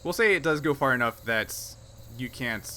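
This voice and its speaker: A man's voice: mid-range voice, lively intonation, moderate pace, pushed and bright, slight background noise. A man running a tabletop role-playing game, casually narrating and explaining the rules. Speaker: game master.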